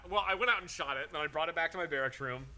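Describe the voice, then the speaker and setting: Bright-voiced young man, blunt and laid-back. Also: a little high, very fast, slight background noise. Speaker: co-host, army veteran. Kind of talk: casual reaction chat.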